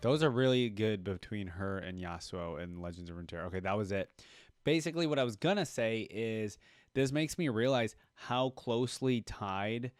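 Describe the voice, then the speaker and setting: A man's voice: lowish voice, animated, moderate pace, no room sound. A man hosting a reaction video, giving casual commentary and musing aloud as he goes. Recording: game audio and music behind.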